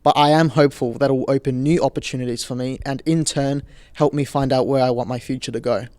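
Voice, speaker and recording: A young man in his late teens, reading a prepared reflection in a measured, composed way. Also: medium pitch, fairly even intonation, a little mellow, no echo. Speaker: student leaving high school.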